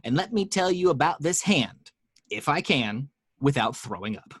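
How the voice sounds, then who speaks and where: A man's voice: fairly high pitch, sing-song, normal speed, a bit bright, breathy edge, no room sound, studio quality. A man narrating the action lines at a home table read, with chatty asides to the listeners.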